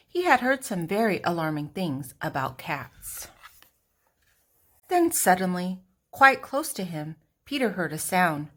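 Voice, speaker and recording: An older woman narrating a children's storybook expressively, with deliberate dramatic pauses and emphasis. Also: mid-range voice, fairly bright tone.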